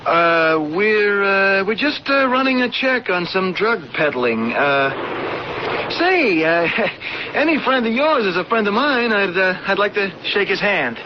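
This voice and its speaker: A hearty cop in a radio play. His voice is high for a man and very animated, with folksy, tough talk, on a muffled broadcast with faint noise. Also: a little slow. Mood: feigned casual. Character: hearty, tough police lieutenant, forceful and friendly. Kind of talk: radio drama acting.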